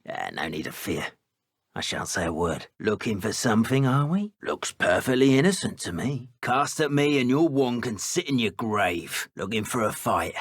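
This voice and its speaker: An actor playing a menacing, ruthless British dark wizard poacher in a video game, rough-voiced, taunting and threatening. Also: male voice, mid-range voice, very animated, moderate pace, no room sound, clean studio sound. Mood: quiet menace. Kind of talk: video game combat voice lines.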